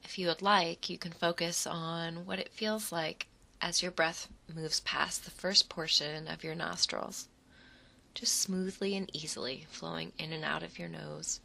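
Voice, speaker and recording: A woman guides a relaxation exercise in a low voice with a noticeable creak. She takes her time, letting short, soothing phrases settle between pauses. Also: fairly even intonation, sharp tone. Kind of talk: guided imagery meditation.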